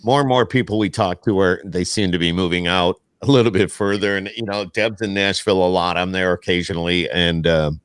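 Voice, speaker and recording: A man's voice: low-pitched, steady tone, fairly quick, hardly any pauses, smooth, dry recording, studio quality. An American man hosting a podcast, chatting casually with a jokey manner and repeated phrases. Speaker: podcast host. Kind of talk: casual chat.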